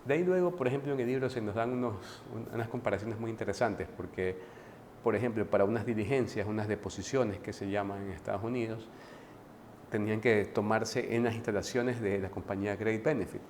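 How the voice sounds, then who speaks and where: Male book reviewer, low-pitched, relaxed conversational delivery, faint noise behind. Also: brisk. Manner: conversational, frequent restarts and tag questions. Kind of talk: casual book commentary.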